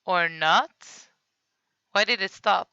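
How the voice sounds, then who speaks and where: A woman's voice: mid-range voice, slow pace, sharp tone, lo-fi sound, a little hiss or hum. A woman with a Swedish accent, streaming and chatting casually as she reacts to fan videos, often laughing and apologizing as she stumbles over names. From Sweden. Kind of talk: casual live-stream commentary.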